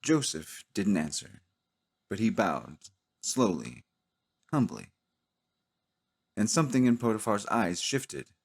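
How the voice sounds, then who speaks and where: Male narrator for dramatic audio storytelling: solemn, slightly husky, unhurried, measured pauses. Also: lowish voice, sing-song. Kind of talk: slow cinematic scripted narration.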